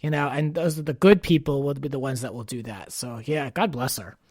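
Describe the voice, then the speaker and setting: A man's voice: mid-range voice, fairly quick, a little mellow, dry recording, studio quality. A man hosting a podcast in a casual, informal and encouraging way. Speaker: podcast host.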